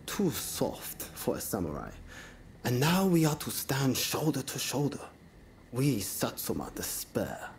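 An actor playing a haughty, taunting samurai challenger in a video game cutscene, deliberate, scornful and goading. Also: male voice, on the low side, darkish tone, slight background noise, exaggerated voice.

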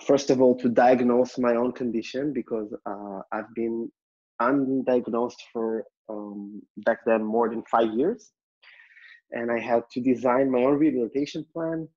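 A man from Israel with an Israeli accent answers conversationally as an interview guest, in a hesitant, reflective manner. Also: medium pitch. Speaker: podcast guest, health entrepreneur and speaker. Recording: slightly dull lo-fi sound.

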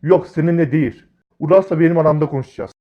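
Casting: male actor as excitable, bossy big-brother type for comic cartoon, brash and scolding, fairly high voice. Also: fast, highs cut off, close to an everyday voice. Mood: businesslike.

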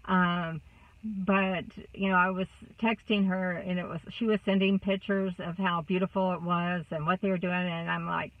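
A woman's voice: on the low side, little pitch movement, normal speed, far from the mic. A woman of sixty with a Texas accent, chatting casually to a phone camera inside a car, rambling with many fillers. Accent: Texan. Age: 60s. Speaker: vlogger. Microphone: phone camera close up. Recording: dry, thin phone-like sound.

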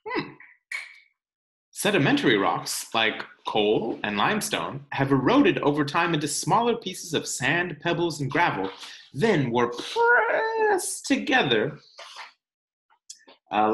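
Expressive man reading aloud, slow and roomy. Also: mid-range voice. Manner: expressive, deliberate, teacherly with questions to listeners. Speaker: children's read-aloud presenter. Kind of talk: picture book read-aloud. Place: indoor room at home. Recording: roomy home webcam sound.

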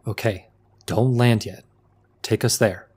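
A man's voice: fairly low pitch, fairly even intonation, slow, a little mellow, husky. A man playing a cautious, decisive spaceship crew leader in a sci-fi audio drama, giving terse commands. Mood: cautious, commanding.

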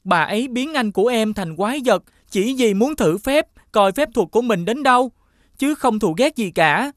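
Woman as earnest little boy, highish voice. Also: animated, normal speed, no room sound, clean studio sound, natural voice. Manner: earnest, explanatory. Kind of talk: scripted children's fairy-tale acting.

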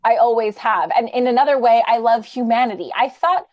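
Woman acting an idealistic high-voiced lady. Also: brisk, lo-fi sound, lightly acted. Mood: anguished. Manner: earnest, theatrical, pleading. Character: idealistic, anxious, gentle lady who loves humanity. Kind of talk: old-time radio drama acting. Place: remote video call.

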